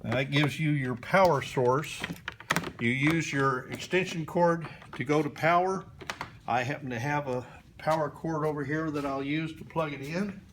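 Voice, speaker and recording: A man giving a slow, plain hands-on demonstration of setting up a sound system indoors, pausing often with fillers. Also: on the high side, background noise. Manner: unhurried, plain instructions with frequent pauses and fillers. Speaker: ministry volunteer demonstrating sound gear.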